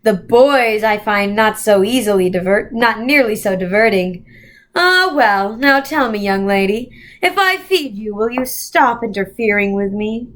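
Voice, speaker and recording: A woman voicing a menacing, coolly amused man who speaks by thought in a storybook, slow, deliberate and condescending. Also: mid-range voice, lively intonation, a bit bright.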